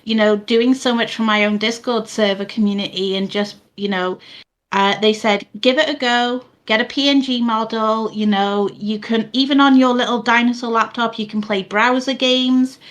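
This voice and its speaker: A woman's voice: a little high, steady tone, moderate pace. A woman in her thirties from Wales with a Welsh accent and a soothing voice, chatting as an interview guest with lots of fillers and restarts. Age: 30s. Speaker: guest, VTuber. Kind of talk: casual interview chat. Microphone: boom mic.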